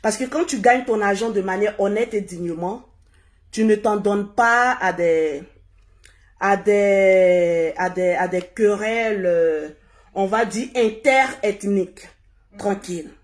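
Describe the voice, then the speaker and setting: A woman hosting a casual live stream, hoarse and husky from a cold, repeating herself as she urges viewers to share. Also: mid-range voice, slow. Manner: repetitive, urging viewers to share. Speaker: livestream host.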